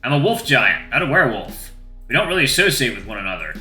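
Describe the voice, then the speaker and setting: Someone voicing a big, reassuring wolf giant, bright and lively. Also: mid-range voice, fast talker, reverberant, faint music behind. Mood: matter-of-fact. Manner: soothing, unbothered, lightly teasing. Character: huge friendly male wolf giant, calm and reassuring.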